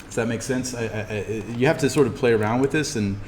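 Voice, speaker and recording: A man teaching an informal in-class software tutorial, thinking aloud haltingly as he demonstrates. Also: medium pitch. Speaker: instructor teaching 3D animation software. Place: classroom, indoors. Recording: echoey room with background noise.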